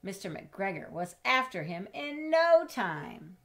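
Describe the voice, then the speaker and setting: A middle-aged woman reading a children's storybook aloud in an expressive, measured way, as if to young listeners. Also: mid-range voice. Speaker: storybook reader. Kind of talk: children's read-aloud with chatty asides. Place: indoors in a home room with bookshelves.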